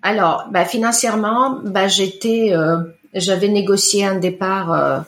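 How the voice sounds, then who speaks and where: A middle-aged woman whose first language is Italian, talking about her work as a guest in an interview, pausing often with fillers and restarts. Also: medium pitch, steady tone. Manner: hesitant, many fillers and restarts. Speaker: iridologist guest. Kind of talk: conversational interview. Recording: roomy echo.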